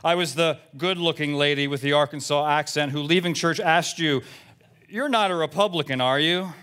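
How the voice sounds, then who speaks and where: A man plays a busybody church lady in her seventies, reading out her letters for comedy. The delivery is blunt and gossipy, with indignant, self-righteous complaints in a slightly high pitch. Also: fairly bright tone, clean tone, lightly acted. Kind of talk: comic reading of emails aloud.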